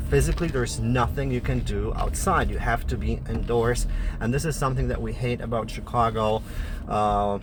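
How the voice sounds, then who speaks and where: A man with a Polish accent, Polish being his first language, talks straight to the camera in a plain, direct manner and often stops on "uh". His pitch sits at an ordinary middle height for a man, and a faint hum of a vehicle runs underneath. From Poland. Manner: direct, frequent "uh" fillers. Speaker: family travel vlogger. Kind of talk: casual explainer vlog.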